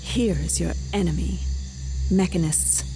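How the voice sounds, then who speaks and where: An actress playing a proud, mystical pagan leader in a fantasy game, speaking with solemn, formal authority. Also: female voice, on the low side, a little slow, dark tone, poor audio. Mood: commanding. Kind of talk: scripted fantasy game dialogue. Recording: game soundtrack music behind.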